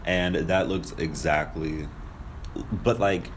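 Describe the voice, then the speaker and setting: A young man with a remarkably deep voice talks casually on a podcast. He questions things skeptically, and clear noise runs behind his voice. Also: steady tone, slow pace. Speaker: podcast co-host. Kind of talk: casual conspiracy discussion. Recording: noisy room recording.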